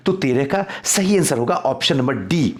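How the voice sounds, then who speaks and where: Man teaching, highly animated pitch. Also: moderate pace. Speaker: exam coaching teacher. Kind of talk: quiz-style lecture for exam revision.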